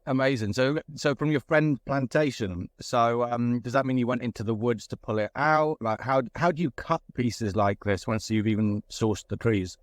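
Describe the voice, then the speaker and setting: A man's voice: medium pitch, normal speed, hardly any pauses, no echo. A man asking questions as an interviewer in a casual, conversational style.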